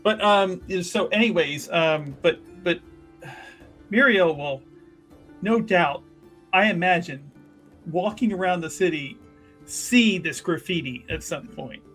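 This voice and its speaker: A man playing a tabletop roleplaying game, improvising his character's actions in a halting way and repeating phrases for emphasis. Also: high-pitched, fairly bright tone. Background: background music.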